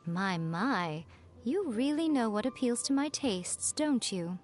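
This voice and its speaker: A sly, teasing fox spirit priestess. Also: female voice, fairly high pitch, lively intonation, slow pace. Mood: coy, mocking. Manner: playful, haughty, drawling, theatrical. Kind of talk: scripted video game character voice lines.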